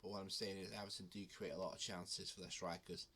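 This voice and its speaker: A man's voice: deep voice, little pitch movement, fast talker, sharp tone, husky. A man with a cold hosting his betting video, talking casually with frequent ums and restarts. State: has a cold. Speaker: betting channel host. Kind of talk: casual talk to camera.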